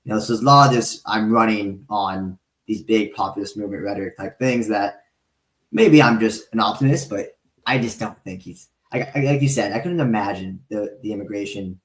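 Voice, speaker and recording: A man of twenty-eight hosting a podcast interview, talking in a relaxed, rambling, chatty way. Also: lowish voice, moderate pace. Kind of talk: casual interview chat. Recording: roomy echo.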